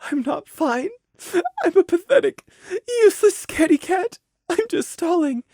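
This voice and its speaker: A woman's voice: extremely high pitch, lively intonation, unhurried, dark tone, no room sound, clean studio sound, pitched above the performer's own voice, exaggerated voice. A woman voicing the anguished, self-loathing thoughts of a unicorn mare in a storybook, tearful and despairing.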